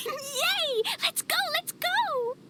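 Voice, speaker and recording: A woman's voice: very high voice, expressive, drawn-out words, sharp tone, a little hiss or hum, exaggerated voice. A woman voicing an innocent, sweet little girl in a video game, childlike, eager and guileless. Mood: excited.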